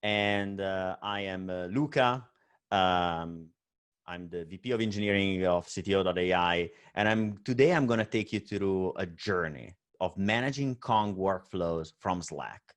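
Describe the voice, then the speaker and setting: A low-pitched man with a clear Italian accent presents at a virtual tech conference. He speaks in stop-start phrases, filling pauses with "uh" and closing points with a questioning "right?". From Italy. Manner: many fillers and hesitations, frequent "right?" tag.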